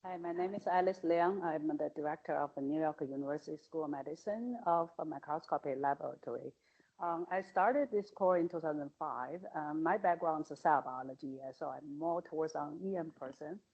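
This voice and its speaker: A woman's voice: deep voice, a little fast, a little mellow, husky. A woman in her forties who directs a microscopy laboratory, introducing it on a panel in a matter-of-fact way with fillers. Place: conference hall at a forum. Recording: dull audio, set back from the mic.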